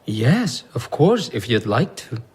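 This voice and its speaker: An actor playing a modest young explorer and teacher in an audio drama, inquisitive and polite. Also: male voice, medium pitch, very animated, slow, husky, heavily acted. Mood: gentle. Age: young adult.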